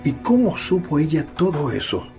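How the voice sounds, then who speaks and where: A man's voice: on the high side, sing-song, normal speed, warm tone, faint music behind. An actor playing a trusting, reasonable middle-aged father in a radio drama. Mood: curious.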